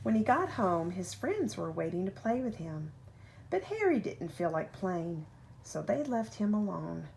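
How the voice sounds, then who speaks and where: A woman reading a children's picture book aloud like a parent at bedtime, with expressive, deliberate phrasing and drawn-out key words. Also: mid-range voice, vocal fry, rough recording. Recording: home recording with faint background noise.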